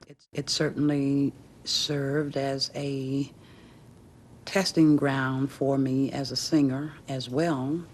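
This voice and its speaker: Slow-spoken male singer in interview. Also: mid-range voice, level tone, slight background noise. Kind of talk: reflective interview answer.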